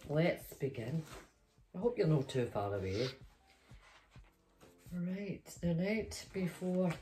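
A woman's voice: deep voice, big pitch swings, takes it slowly, mellow, low-quality recording. Chatty Scottish grandmother at storytime. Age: middle-aged or older, a grandmother. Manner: chatty, playful, addresses children directly. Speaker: host reading a children's story. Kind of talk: casual chat and storytime reading for children. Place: small bedroom, indoors.

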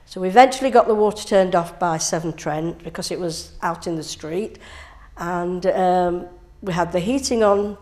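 An older woman from England, a cub scout leader giving an earnest interview in a scout hall, halting with many fillers. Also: on the low side, darkish tone.

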